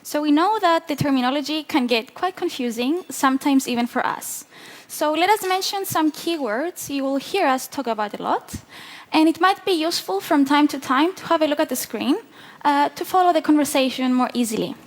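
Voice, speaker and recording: Bright high Cypriot woman presenter. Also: lively intonation, brisk. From southern Cyprus. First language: Greek. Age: 20s. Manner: clear, explanatory delivery. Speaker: conference speaker. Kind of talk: prepared conference talk. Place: large hall with audience. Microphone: headset mic.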